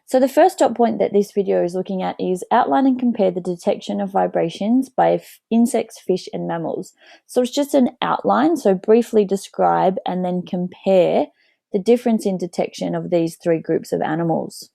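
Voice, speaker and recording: A woman biology teacher narrates a lesson video with plain, explanatory delivery. Her mid-pitched voice has a gravelly fry. The recording is dry and close. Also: normal speed, dark tone, no room sound. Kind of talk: educational narration.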